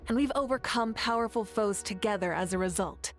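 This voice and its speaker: A woman voicing a composed, noble leader deity in a video game, measured and warmly authoritative. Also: mid-range voice, soft background music, natural voice. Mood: sincere.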